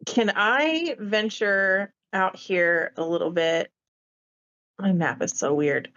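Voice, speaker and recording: A woman's voice: medium pitch, slow, fairly bright tone. Female tabletop player, casual and informal, thinking aloud, mid-range voice, slightly muffled lo-fi audio. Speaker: player in a tabletop roleplaying game. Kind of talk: casual game-table chat.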